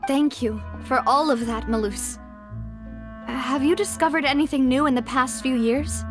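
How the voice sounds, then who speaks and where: Game heroine, female actor: high-pitched young lady, proud, refined, restrained, carrying old hurt. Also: moderate pace, over music, lightly acted. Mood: composed, resolute. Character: proud, wounded young noblewoman, a late boss's daughter. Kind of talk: dramatic video game cutscene acting.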